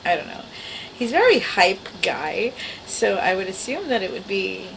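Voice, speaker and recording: A woman's voice: mid-range voice, sing-song, moderate pace, creaky, a bit bright, slight background noise, rough recording. A woman in her forties with a small, girlish voice, chatting casually and wryly to her camera at home. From the Bronx, New York. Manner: rambling, self-deprecating, wry. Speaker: vlogger. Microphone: camera mic.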